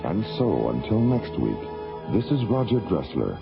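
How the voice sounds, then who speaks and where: A man's voice: low-pitched, big pitch swings, moderate pace, vocal fry. A male announcer reading closing credits in a formal style for a radio show. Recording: dull old radio broadcast under music.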